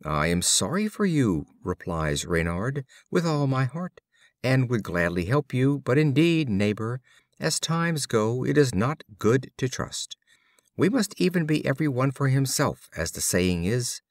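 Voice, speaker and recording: A man's voice: medium pitch, big pitch swings, measured pace, no room sound, studio quality. Man reading as a sly, smug storybook fox. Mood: falsely regretful. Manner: courtly, old-fashioned phrasing. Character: sly, smug male fox, talkative schemer. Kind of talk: fable storytelling.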